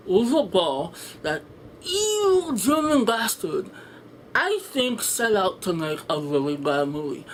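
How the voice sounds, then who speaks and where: Female reviewer for an improvised film rant: fed up, blunt and swearing freely, with emphatic delivery and some background noise. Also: medium pitch. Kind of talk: unscripted ranting movie review.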